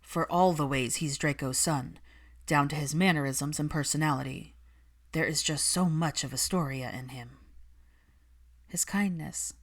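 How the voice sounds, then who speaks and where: A woman's voice: deep voice, a little slow. A woman voicing an earnest, guarded witch, weary but stubborn, in audiobook dialogue. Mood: tender, grieving.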